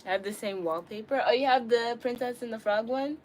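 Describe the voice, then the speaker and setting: A woman's voice: a little high, a little fast. Casting: woman, early twenties, candid and slangy streamer chatting off the cuff, noisy room sound. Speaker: live streamer. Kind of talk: casual live-stream chat with viewers. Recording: clearly noisy room ambience.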